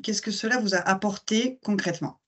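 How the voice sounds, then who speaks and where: A woman's voice: mid-range voice, fairly quick, fairly bright tone. A woman interviewer reading formal, scripted questions and introductions in a polished way.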